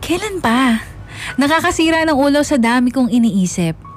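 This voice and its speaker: A woman's voice: high-pitched, sing-song, moderate pace, faint music behind. A woman narrating a dramatised first-person radio story, mixing English into her Filipino.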